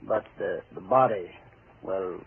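An actor playing a sober, formal, apologetic police sergeant with hesitant delivery, in 1940s radio drama style. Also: male voice, low-pitched, slow pace, phone-quality sound. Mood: sympathetic. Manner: hesitant, formal, matter-of-fact. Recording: thin, hissy vintage radio recording.

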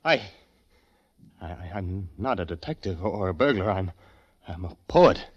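An actor playing a nervous poet, telling his tale with halting intensity in a 1940s radio drama style. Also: deep voice, animated, dull audio. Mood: terrified. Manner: hushed, deliberate, halting, intense. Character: nervous poet fleeing the world, haunted narrator. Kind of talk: 1940s radio acting, dramatic first-person narration. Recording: vintage radio drama with music behind. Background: music.

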